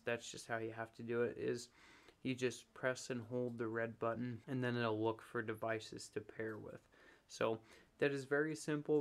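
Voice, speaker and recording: A man giving a casual product review, explaining things step by step in a plain, matter-of-fact way. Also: mid-range voice.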